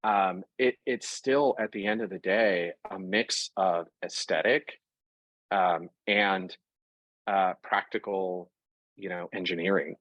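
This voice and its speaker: Man, low voice, husky, conversational interview guest, dry recording. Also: slow, no echo, lo-fi sound. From Puerto Rico. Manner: animated, talks through examples with self-corrections. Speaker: interview guest, fashion product executive.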